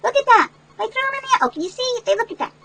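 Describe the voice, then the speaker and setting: A woman's voice: very high-pitched, expressive, fast, bright, forceful tone. An older woman, a great-grandmother, reading a picture book aloud to children with chatty, instructive asides and questions to her listeners. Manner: instructive, checks in with listeners through tag questions.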